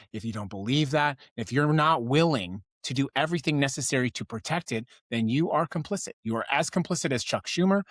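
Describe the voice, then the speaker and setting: A man's voice: mid-range voice, a bit bright, dry recording, studio quality. An American man hosting a news commentary show, speaking in an emphatic, impassioned monologue that builds through repetition. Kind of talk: political commentary monologue to an audience.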